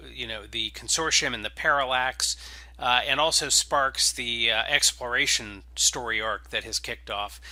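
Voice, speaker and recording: A man's voice: mid-range voice, pushed and bright, no echo. A man with an informal, enthusiastic manner, hosting and reading stories aloud. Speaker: game writer and host introducing and reading stories. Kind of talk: casual podcast intro, then dramatic scripted narration.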